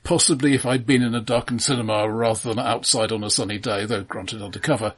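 Man, lowish pitch, rough husky voice, casual film podcast talk, measured, dry wit. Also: fairly even intonation.

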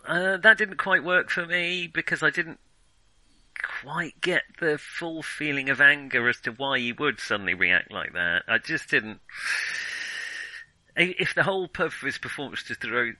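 Very bright, crisp-edged man thinking aloud. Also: mid-range voice, lively intonation, normal speed, no echo, poor audio. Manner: hesitant, thinking aloud, opinionated. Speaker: film podcast co-host. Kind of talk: casual film discussion.

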